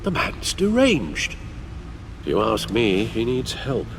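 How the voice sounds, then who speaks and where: An actor playing a measured, concerned older male confidant in a game drama, speaking with dry understatement. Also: mid-range voice, huge pitch swings, fairly bright tone, a little hiss or hum, full character voice.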